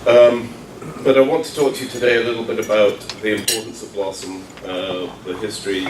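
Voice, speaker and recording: A man's voice: fairly low pitch, steady tone, brisk. A man with an English accent, from London, giving an informal public talk in a genial, joking, self-deprecating manner. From London, England. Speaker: guest speaker, orchestra executive. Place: meeting room during a breakfast gathering. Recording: roomy, distant mic, clattering dishes, noisy.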